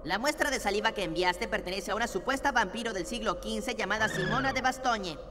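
A woman's voice: mid-range voice, fairly quick, big-room sound, noisy background, natural voice. A woman voicing a small, timid, chatty male alien in a Latin American Spanish cartoon dub, fussy and nervous. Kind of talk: dubbed cartoon acting.

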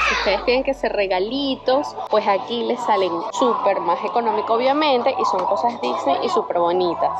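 A young woman gushes over items and reads out prices as she films a shopping tour for viewers in an indoor store. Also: on the high side. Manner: gushing, exclaims over items while reading out prices. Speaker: travel vlogger. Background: store background music.

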